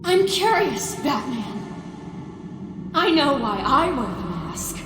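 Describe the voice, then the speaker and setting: A woman's voice: sits high, big pitch swings, takes it slowly, background noise, heavily acted. A woman voicing a vengeful masked villainess in a video game, taunting and menacing, in an echoing hall with music underneath. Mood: probing, menacing.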